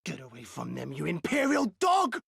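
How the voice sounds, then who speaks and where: High, husky, impassioned young rebel. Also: male voice, wildly swooping pitch, moderate pace, fairly bright tone, no room sound, exaggerated voice. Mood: defiant anger. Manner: emotional, earnest, intense. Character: impassioned, defiant young rebel, guilt-ridden but brave. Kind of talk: dramatic game cutscene acting.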